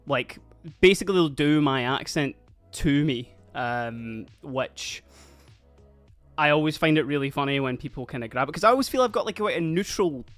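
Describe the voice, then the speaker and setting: A man's voice: a little high, no room sound. Chatty Scottish man streaming. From Scotland (Dundee). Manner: chatty, rambling, laughs often, talks while multitasking. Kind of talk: casual livestream chat. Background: faint background music.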